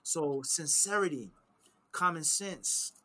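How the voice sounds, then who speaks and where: A man teaching religious principles in a sit-down conversation, his voice pitched a little high and pushed with a tense, forceful edge. He leans on key phrases, repeating them for weight, and weaves in Arabic quotations as he explains. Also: slow pace. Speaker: Islamic teacher answering questions.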